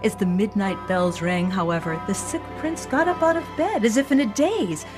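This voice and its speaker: A woman narrating a scripted fairy tale for children in an expressive storybook delivery. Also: medium pitch, moderate pace, music in the background.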